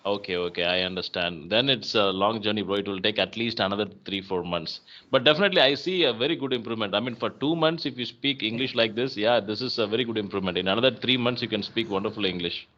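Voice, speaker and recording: A male host with a Tamil accent talks in a friendly, encouraging way, with clear diction. His voice is low but bright. The call audio sounds dull. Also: fast talker, hardly any pauses. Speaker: host, English conversation partner. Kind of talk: casual encouraging chat.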